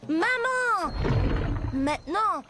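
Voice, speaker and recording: A woman voicing an eager, boastful child dinosaur who draws words out emphatically in a children's cartoon, with loud music behind. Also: very high voice, big pitch swings, extremely slow, very noisy, heavily acted. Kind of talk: children's cartoon character narration.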